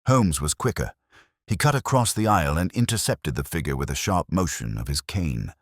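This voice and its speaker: Very deep male story narrator, dry sound. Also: lively intonation, moderate pace, no room sound. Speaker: audiobook narrator. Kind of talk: scripted mystery story narration.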